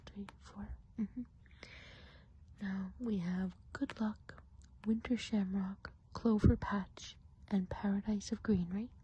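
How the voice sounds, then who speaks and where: A woman playing a friendly, chatty witch of the woods in an ASMR roleplay, whispering softly and breathily in an unhurried, musing way. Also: medium pitch, a little hiss or hum, close to an everyday voice, low-quality recording. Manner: unhurried, gossipy, hesitant musing.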